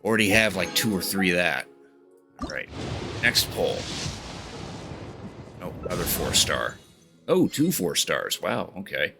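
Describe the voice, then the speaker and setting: A man giving casual livestream game commentary, informal and a bit halting as he talks. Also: low-pitched, very animated, gravelly. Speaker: game streamer. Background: game music.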